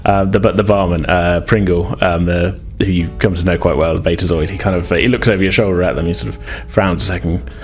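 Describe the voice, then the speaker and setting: A man's voice: deep voice, fairly even intonation, quick pace, few pauses, soft background music. A man acting as game master, casually improvising narration in informal, hedging, stop-start phrases.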